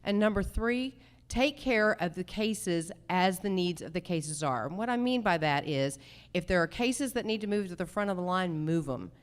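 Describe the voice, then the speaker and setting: Lower-voiced woman candidate, emphatic. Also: animated, normal speed, smooth. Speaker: judicial candidate at a forum. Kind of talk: political forum answers.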